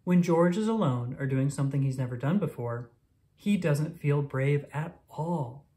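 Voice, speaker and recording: A woman reading a children's picture book aloud in an expressive, deliberate storytelling manner for young listeners. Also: deep voice. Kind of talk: scripted read-aloud narration of a picture book.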